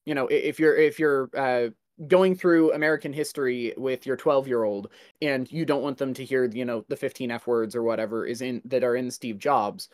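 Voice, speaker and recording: A man in a casual podcast discussion, talking in a halting way as he works out his thoughts. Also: medium pitch, steady tone, dry recording, clean studio sound.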